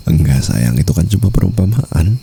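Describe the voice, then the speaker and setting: Man playing a very deep, dark-voiced Indonesian mafia boss. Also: a little hiss or hum, heavily acted. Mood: teasing, reassuring. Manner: intimate, teasing drawl, often dropping into breathy whispers. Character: doting, teasing male mafia boss, seductive husband. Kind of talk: ASMR romantic roleplay.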